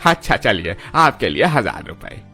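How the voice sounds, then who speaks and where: Guy doing a market veggie seller, grudging, lively pitch, cartoon, music behind. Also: moderate pace, heavily acted. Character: friendly male vegetable seller, grudgingly giving in. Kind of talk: cartoon drama acting.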